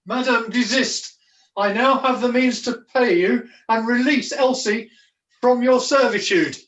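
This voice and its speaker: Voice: medium pitch, measured pace, a bit bright, lo-fi sound, close to an everyday voice. A performer playing a flustered, scheming jester in a pantomime, declaiming in florid mock-archaic language. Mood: bold. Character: Jack Point, a wordy, scheming, flustered jester. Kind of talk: theatrical pantomime acting.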